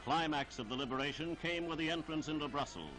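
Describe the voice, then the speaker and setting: A man reading scripted 1940s newsreel narration in a formal, declamatory, measured delivery. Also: on the high side, rough recording. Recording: 1940s archival newsreel soundtrack with music.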